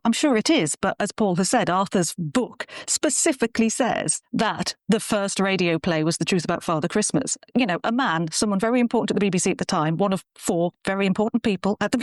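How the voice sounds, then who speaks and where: A woman's voice: mid-range voice, dry recording. Woman chatting casually, close dry sound. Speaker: director and producer being interviewed. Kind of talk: casual interview chat.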